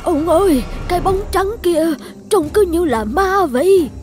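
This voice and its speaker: A woman voicing a kindly old village wife in an animated folk tale. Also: extremely high pitch, a little slow. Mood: frightened.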